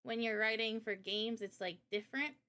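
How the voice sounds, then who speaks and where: A woman with a slightly high voice talks in a relaxed livestream presentation style. She explains her work as a game developer in informal, slangy language. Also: normal speed. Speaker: game developer presenting a visual novel project.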